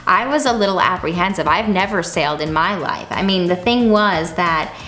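Lively female co-host chatting on a studio sofa. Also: lowish voice, moderate pace, soft background music. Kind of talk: casual scripted chat. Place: indoor studio set with sofa.

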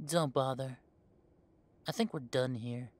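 A man's voice: medium pitch, takes it slowly, no room sound, close to an everyday voice. A man playing a young adult werewolf in an audio drama, an estranged heir who talks with sarcasm and indignation. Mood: defiant. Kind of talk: audio roleplay drama acting.

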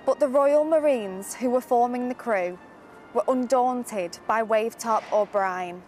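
A woman's voice: fairly high pitch, measured pace, a little mellow. A teenage young woman giving a solemn recitation of a war poem. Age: teens. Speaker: student reading a poem. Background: music.

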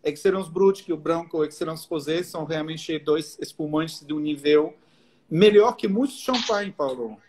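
Man, French accent, middle-aged, friendly explainer, video call at home, slightly high pitch. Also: moderate pace, darkish tone. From France. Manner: friendly, explains with many restarts. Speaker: guest enologist. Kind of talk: live video call interview. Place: home room, indoors. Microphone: video call on laptop.

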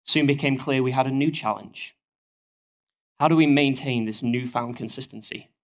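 A man in his thirties with a broad northern English accent presents at a conference lectern, speaking into a headset mic. He is getting over a cold, and his delivery is steady and explanatory, scattered with ums and uhs. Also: medium pitch, moderate pace. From northern England. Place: stage of a conference hall, at a lectern.

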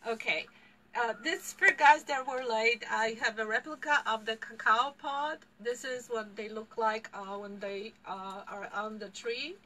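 A woman in her fifties with a Polish accent runs a casual tea tasting class. Her voice is bright and fairly high, picked up by a camera across a shop room with a faint hiss behind her. She chats freely and laughs between explanations. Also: a little slow, poor audio. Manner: chatty, laughs often, gestures with props. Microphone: far camera.

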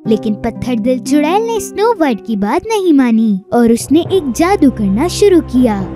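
Female narrator for children's fairy tales, high and expressive, over clear music.

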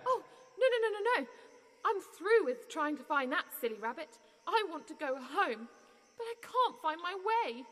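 A woman's voice: very high-pitched, very animated, moderate pace, fairly bright tone, in a large hall, full character voice. A woman playing a curious, polite, easily flustered young girl in an audio drama, wondering and earnest. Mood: exasperated.

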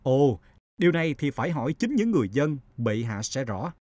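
A man playing a wise elderly chancellor in an animated folktale, speaking formally and deferentially in a measured way. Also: mid-range voice, no echo. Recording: music under the voice.

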